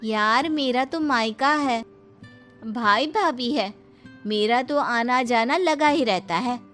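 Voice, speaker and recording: A woman's voice: fairly high pitch, takes it slowly, a bit bright, faint music behind. A woman voicing a cheerful, grateful married woman, the humbler sister in a moral story, friendly and plain-spoken.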